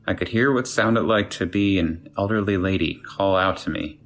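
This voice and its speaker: A man's voice: low voice, normal speed, a bit bright. A man reading a creepy first-person story aloud as a scripted narration. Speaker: guest narrator reading a submitted scary story. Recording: music playing under the voice. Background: background music.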